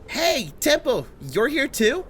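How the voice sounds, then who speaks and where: A woman's voice: high-pitched, big pitch swings, slow, full character voice. A woman voicing an earnest, good-natured young robot boy hero in a comic dub, boyish and matter-of-fact. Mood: eager. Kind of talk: cartoonish comic dub acting. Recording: faint noise, ambience behind.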